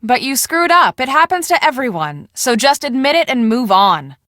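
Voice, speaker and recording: Bright, high-voiced bossy young storm chaser. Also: female voice, big pitch swings, normal speed, no echo, studio quality, heavily acted. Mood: curt, irritated. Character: brisk, bossy young woman on a storm-chasing team. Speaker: voice actress in an adventure video game. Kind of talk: scripted video game dialogue.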